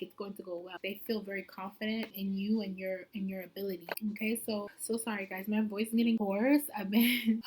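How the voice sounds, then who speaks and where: A woman's voice: mid-range voice, a little mellow, low-quality recording. A woman giving a casual pick-a-card tarot reading, chatty and loose, leaning constantly on "like" and "I feel like". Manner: chatty, heavy use of "like" and "I feel like".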